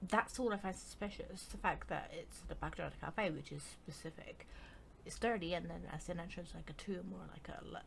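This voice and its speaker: A woman's voice: lowish voice, a little fast, creaky, noisy background. A woman giving casual gameplay commentary as a streamer, playful, reactive and rambling. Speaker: game streamer.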